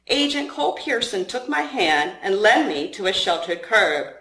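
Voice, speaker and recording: A woman's voice: mid-range voice, big pitch swings, normal speed, a bit bright. A woman reading her own story aloud as a first-person narrator. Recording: roomy, echoing room sound.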